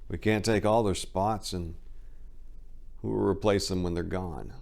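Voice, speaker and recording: A man's voice: sits low, fairly even intonation, measured pace, gravelly, darkish tone. A man playing a weary, doubtful, grieving older hefty crime boss who pleads and questions with emotion in an audiobook crime drama.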